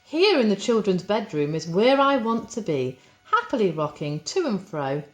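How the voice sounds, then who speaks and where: A woman voicing a dreamy, wistful male toy horse from a storybook, speaking in expressive singsong rhyme. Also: mid-range voice, moderate pace, close to an everyday voice. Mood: contented.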